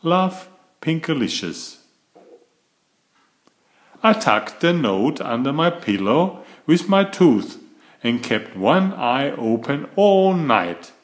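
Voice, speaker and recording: Slow, lively man reading a storybook. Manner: slow, lively storybook reading, raising his pitch for the girl's lines. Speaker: picture book reader. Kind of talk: reading a children's book aloud.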